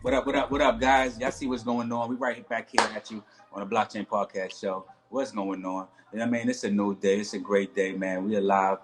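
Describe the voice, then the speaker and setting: A man's voice: fairly low pitch, little pitch movement, fairly quick, few pauses, soft background music. A man hosting a casual podcast interview over a video call, laid-back and full of slang, often tagging "you know what I mean.". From New York. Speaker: podcast host.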